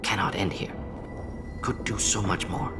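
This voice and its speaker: An actor playing a brooding alien scientist and doctor in a video game, speaking in clipped, analytical fragments. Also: male voice, on the low side, measured pace, loud music behind the voice, close to an everyday voice. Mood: reflective, earnest. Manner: clipped telegraphic phrases, analytical. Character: brisk, brooding alien scientist-doctor, male, conscience-burdened. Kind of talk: video game dramatic dialogue.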